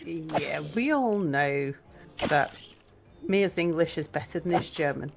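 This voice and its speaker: Dry, slow-speaking woman gamer. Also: low voice, expressive, over music. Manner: dry, mock-patient explaining. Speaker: co-player on a livestream. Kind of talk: casual gaming chat.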